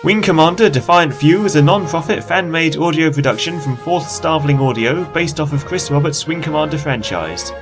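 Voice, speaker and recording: A man's voice: fairly high pitch, fairly quick, hardly any pauses. A man reading scripted credits as the announcer of an audio production. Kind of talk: scripted narration. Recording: music behind the voice.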